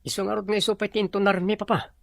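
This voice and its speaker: A man's voice: high voice, little pitch movement, very fast, vocal fry, very noisy. A man playing an ambitious businessman son in an audio drama. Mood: eager. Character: ambitious businessman son addressing his father. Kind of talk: Ilocano audio drama acting.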